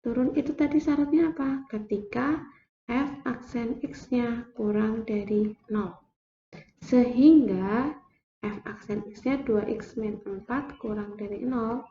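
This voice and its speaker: Young woman, math teacher, slow step-by-step explaining, higher voice, echoey dull room. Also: warm tone, slight background noise.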